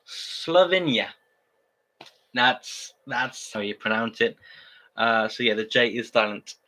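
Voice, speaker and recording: A young man chatting casually on a livestream, rambling with many halting restarts. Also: medium pitch, expressive, bright, forceful tone.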